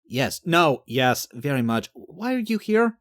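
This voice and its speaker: Flustered young male captain, played by a narrator: medium pitch, animated, honest, hesitant, self-deprecating. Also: unhurried, no room sound, studio quality.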